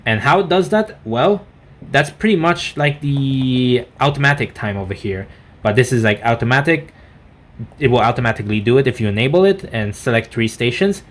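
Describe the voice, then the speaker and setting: A man giving an unscripted product review in a halting, rambling way, often correcting himself mid-sentence. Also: medium pitch, a bit bright. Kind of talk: unscripted gadget demonstration.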